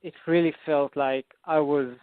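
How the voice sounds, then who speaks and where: A man's voice: a little high, steady tone, clear, resonant tone, no room sound. An Israeli man speaking English as a second language, circling his thoughts with lots of "um" and repeated words. He comes through a narrow, phone-like line. The sound is dry, with no room around it. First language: Hebrew. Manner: halting, many fillers and restarts. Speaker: singer-songwriter guest. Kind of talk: casual interview answers.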